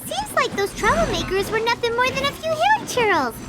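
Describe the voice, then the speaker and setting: Chirpy tiny fairy girl companion, very high and bouncy, rain noise behind. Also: sing-song, normal speed, loud music behind the voice. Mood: cheerful. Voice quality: squeaky, childlike. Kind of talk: game voice acting.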